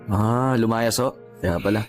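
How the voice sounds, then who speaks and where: A man's voice: fairly low pitch, measured pace, soft background music, natural voice. An actor playing a friendly, sympathetic younger man, a chatty and respectful customer with a Filipino accent, in a radio drama. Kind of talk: Tagalog radio drama acting.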